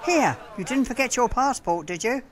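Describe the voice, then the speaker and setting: A woman's voice: a little high, expressive, normal speed, lightly acted. An actress playing a nosy, chatty young shop girl in a radio sitcom, full of exclamations. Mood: teasing. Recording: loud background noise and clicks.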